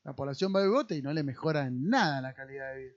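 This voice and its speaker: A man's voice: mid-range voice, expressive, fast, highs cut off. A man with a Rioplatense accent giving casual political commentary as a podcast co-host, explaining things analytically. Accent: Rioplatense Spanish.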